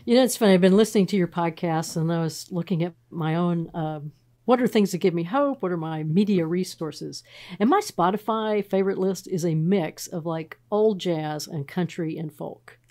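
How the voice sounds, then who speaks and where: A middle-aged woman from Texas speaks with a Texan accent and a slightly low voice. She tells stories in an easy podcast chat, full of fillers and restarts. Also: normal speed. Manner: warm storytelling, frequent fillers and restarts. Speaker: pastor. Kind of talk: casual podcast interview.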